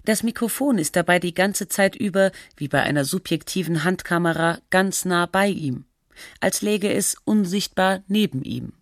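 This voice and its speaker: A documentary narrator reading a scripted feature in a measured, matter-of-fact, scholarly way. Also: mid-range voice, dry recording, clean studio sound, lightly acted.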